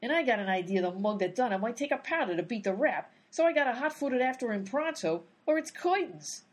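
A brash lady detective of radio comedy. She has a highish, husky voice and throws out wisecracking gangster slang in big swoops of pitch. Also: lively intonation, a little fast. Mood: tough, irritated. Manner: wisecracking 1930s gangster talk, dropped grammar. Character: brash, hot-tempered woman gumshoe talking tough gangster slang. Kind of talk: old-time radio comedy acting.

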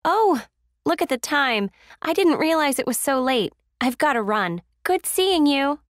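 A woman's voice: high voice, big pitch swings, a little slow, no room sound, clean studio sound. A woman playing a friendly, complimentary acquaintance in a scripted small-talk exercise. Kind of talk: scripted English listening-exercise dialogue.

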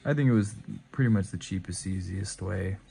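A man with a lowish voice talks through his riding camera setup in an easygoing, rambling way. He often stops to correct himself. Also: brisk, creaky, warm tone, faint music behind. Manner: laid-back, rambling, self-correcting. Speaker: motovlogger. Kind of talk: casual gear walkthrough.

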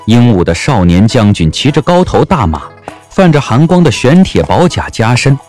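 A man narrating a historical romance audio drama as an expressive storyteller. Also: medium pitch, moderate pace, faint music behind.

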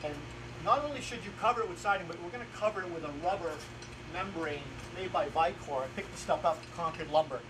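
A man in his forties talks through a carpentry job in a plain, informal, step-by-step way. His voice sits a little higher than most men's. He is recorded outdoors, with clear ambient noise behind his words. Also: fast. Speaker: carpenter hosting a home improvement series. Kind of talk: hands-on how-to explanation, informal. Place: outdoors in a house yard. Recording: noisy outdoor camera audio with ambient sound.

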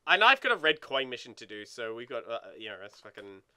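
A man co-hosting a let's play with casual, animated, sweary gaming commentary. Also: mid-range voice, fast talker, clear, resonant tone.

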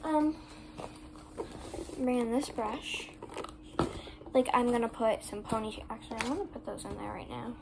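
A young girl talks casually to her phone camera from her bedroom, rambling along with plenty of "like" fillers. Her voice sits high and bright. A steady noisy room hiss runs underneath. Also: normal speed, creaky, pauses often. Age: 11, child. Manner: rambling, chatty, many "like" fillers. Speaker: vlogger. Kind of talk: casual vlog chat. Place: bedroom, indoors. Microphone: phone camera on desk.

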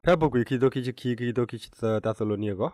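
A man's voice: mid-range voice, rapid-fire, mellow, no room sound. A man presenting radio news, reading in a newsreader's style.

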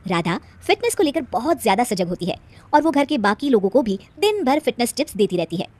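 A woman's voice: on the high side, very animated, a little fast, darkish tone, dry recording. A woman narrating a scripted children's story as a storyteller.

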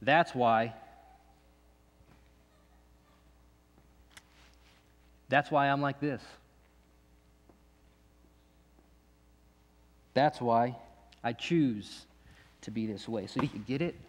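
A man's voice: medium pitch, natural voice. A man playing a bitter, distrustful restrained man. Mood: bitter, defiant. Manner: halting, repeats himself, confrontational questions. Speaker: actor in a monologue. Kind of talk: dramatic stage monologue. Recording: long echoey hall reverb.

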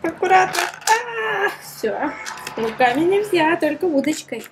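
A woman's voice: extremely high pitch, very animated, a little slow, over music. A woman chatting playfully to a child as she demonstrates a toy, full of exclamations, oohs and gasps. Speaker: toy review host, mother playing with her child.

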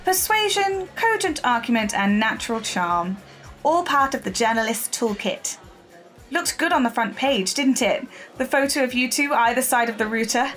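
An actress playing a persistent, shrewd newspaper reporter in an audio drama, speaking confidently and articulately. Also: female voice, on the high side, lively intonation, normal speed, a bit bright, soft background music. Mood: breezy, pleased.

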